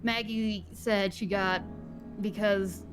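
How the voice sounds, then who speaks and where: A woman's voice: mid-range voice, steady tone, slow pace, faint music behind, close to an everyday voice. A woman playing a young food worker in casual improvised game role-play, speaking in a plain, matter-of-fact way. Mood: weary venting.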